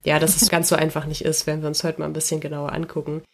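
A woman's voice: low voice, rapid-fire, vocal fry. Stuffy-nosed woman hosting a dog podcast. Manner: relaxed, chatty, laughs easily, thinks aloud. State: has a cold, stuffy nose. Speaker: dog trainer and podcast host. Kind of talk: casual podcast chat.

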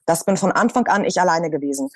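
A woman's voice: fairly low pitch, expressive, fast talker, no echo, clean studio sound. A woman from Hamburg, a radio presenter, talking in a casual roundtable and stressing her points by repeating them. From Hamburg, Germany.

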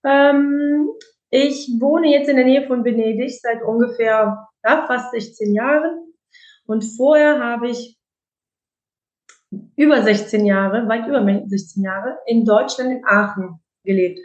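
A woman's voice: fairly high pitch, a little slow, darkish tone, echoey room, highs cut off. A woman raised bilingual in Germany, a guest chatting casually in an interview, full of fillers and restarts. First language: Italian.

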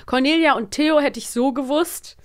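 A woman with a fairly high voice talks as a podcast co-host. Her style is casual chat and quiz banter, playful and teasing. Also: moderate pace, a little hiss or hum.

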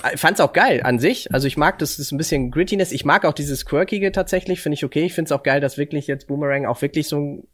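A man's voice: a little high, fast talker, few pauses, fairly bright tone. A man co-hosting a podcast in casual chat, slangy and dropping in English words. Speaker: podcast co-host. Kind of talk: casual chat about games and comics.

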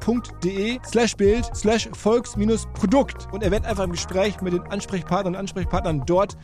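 High-pitched man reading an ad over music. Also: steady tone, moderate pace, a little mellow. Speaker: podcast ad presenter. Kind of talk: scripted ad read.

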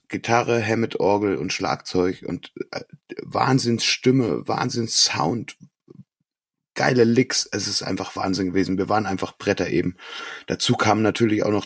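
A man with a low, quite husky voice talks casually as a podcast host. The recording is dry and close, with hardly any room around him. He chats about music in an informal way. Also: no room sound.

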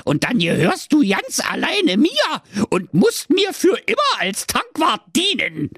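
A man voicing a grumpy, sly evil dwarf who runs a gas station, in a very high, grumbling voice with Berlin slang. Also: wildly swooping pitch, a little slow, a bit bright, dry recording, studio quality, exaggerated voice.

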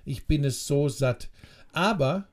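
A man's voice: on the high side, takes it slowly. A man co-hosting a casual sports podcast, talking in a teasing, ironic way. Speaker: podcast co-host.

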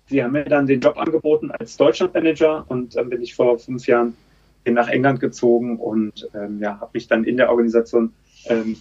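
Guy from berlin in his 30s, husky voice, rambly chatty storyteller, dull lo-fi sound. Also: mid-range voice, a little mellow. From Berlin, Germany. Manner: chatty, storytelling, frequent fillers. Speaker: podcast guest, charity campaign manager. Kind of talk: casual conversational interview.

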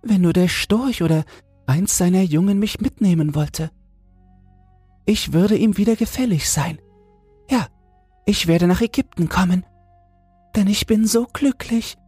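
A man's voice: sits high, measured pace, long pauses, darkish tone, slightly rough, dry recording, faint music behind, pitched above the performer's own voice. A man voicing a naive little female toad in a storybook, exclaiming with wonder and yearning. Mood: elated longing. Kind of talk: storybook character voice.

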